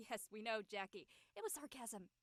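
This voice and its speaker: High, animated, sardonic young woman played by an actress. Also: moderate pace, no room sound, studio quality. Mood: sarcastic. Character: breezy, teasing, sarcastic young woman friend. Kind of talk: acted dialogue in an audio-led play.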